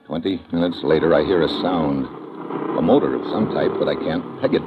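An actor playing a resourceful, wry detective hero in an old-time radio drama, clipped and colloquial. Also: male voice, extremely low pitch, a little fast. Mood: tense narration. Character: resourceful, wry male detective hero narrating his own adventure. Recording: muffled old radio recording, loud noise.

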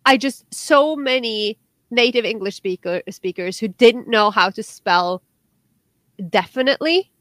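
A woman's voice: a little high, unhurried, a bit bright, dry recording. A young woman from Norway, a podcast co-host chatting casually and animatedly, with many restarts and fillers. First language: Norwegian. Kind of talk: casual chat.

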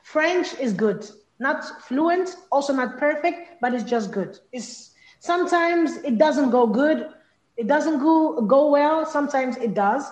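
A woman's voice: high-pitched, animated, takes it slowly, a little mellow, echoey room, rough recording. A young woman from Congo whose first language is Lingala, speaking in a casual interview and often repeating words as she searches for phrasing. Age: young adult. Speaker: interview guest, singer.

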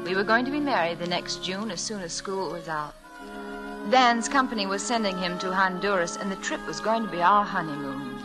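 An actress playing a tender, devoted young schoolteacher in an old-time radio drama, speaking with emotional exclamations and endearments. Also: female voice, mid-range voice, expressive, brisk, lightly acted, rough recording. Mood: wistful narrating. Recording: vintage radio drama with music.

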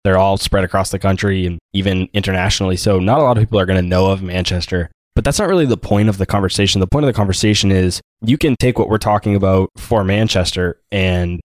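A man's voice: deep voice, fast talker, hardly any pauses, dry recording. A man hosting a podcast interview, asking his guest direct questions and speaking plainly to his listeners. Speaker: podcast host.

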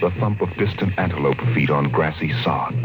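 An actor as a grand, evocative narrator in a scripted old-time radio drama, with theatrical, lyrical phrasing. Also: male voice, a bass voice, fairly quick, low-quality recording. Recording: vintage radio recording with music.

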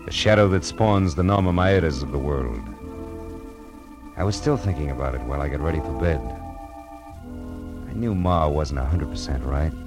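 A man's voice: a bass voice, normal speed, long pauses, over music. A man playing a world-weary, wry private detective in old-time radio noir. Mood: weary, brooding. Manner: wry, hard-boiled.

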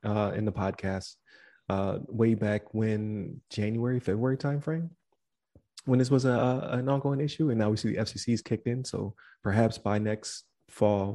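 A male podcast co-host with a somewhat low voice that is starting to wear thin. He talks in a loose, rambling way, full of fillers. He winds down the episode by reading out where to find the show. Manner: relaxed and rambling, many fillers, reads out the show's links at the close. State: voice starting to give out. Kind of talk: casual chat.

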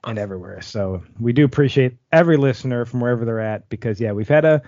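Host, man, mid pitch, earnest and thankful, dry dull recording. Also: lively intonation, quick pace, darkish tone, dry recording. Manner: countdown announcing, earnest and thankful. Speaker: podcast host. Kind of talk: casual chat.

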